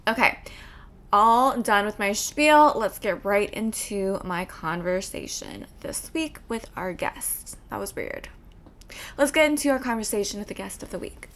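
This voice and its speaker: A woman's voice: mid-range voice, animated, measured pace, a bit bright. A woman hosting her podcast, chatting casually with lots of filler words and the odd swear. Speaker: podcast host.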